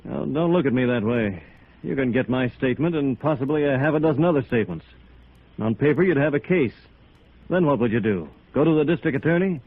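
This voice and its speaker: An actor playing a wary, resigned witness who is afraid to speak up in a 1950s radio drama, hesitant and weary. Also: male voice, mid-range voice, expressive, brisk, slightly rough. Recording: thin, narrow-band old radio recording.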